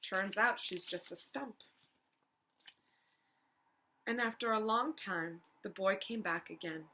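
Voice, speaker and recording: A woman reading a children's storybook aloud as a teacher, expressively, pausing to prompt young listeners with questions. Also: mid-range voice, pushed and bright, a little hiss or hum, rough recording.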